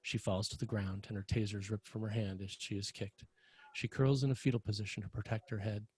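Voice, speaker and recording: Male table-read narrator: deeper, husky, dry sound, scripted delivery of stage directions. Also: fairly even intonation, fairly quick, a little mellow, dry recording.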